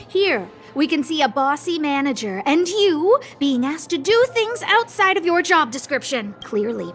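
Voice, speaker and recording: A woman's voice: high voice, a bit bright. A woman playing an earnest, dramatic young noblewoman boss in an anime-style video game, with emphatic, theatrical delivery.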